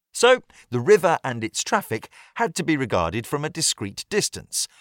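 British male audiobook reader, mid pitch, very varied melody, drily witty, measured storytelling. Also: a bit bright, no echo, clean studio sound. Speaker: audiobook narrator. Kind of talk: scripted narration of a period novel.

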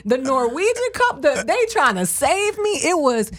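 A woman's voice: high-pitched, sing-song, normal speed. A woman, co-hosting a casual group chat and telling profane stories with laughter.

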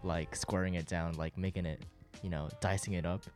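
Young man, deep and mellow, Japanese native. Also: a little fast, faint music behind. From born in South Korea, raised in Japan. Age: 20s. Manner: relaxed, leans on "you know" and "like" fillers. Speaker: R&B artist and producer. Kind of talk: casual interview talk.

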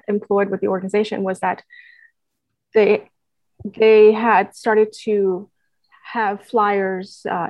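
Earnest woman from puerto rico talking about her work, mid pitch, dry recording. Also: a little mellow, no echo, low-quality recording. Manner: earnest, many fillers and restarts. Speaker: guest, nonprofit staff member. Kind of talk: conversational interview answer.

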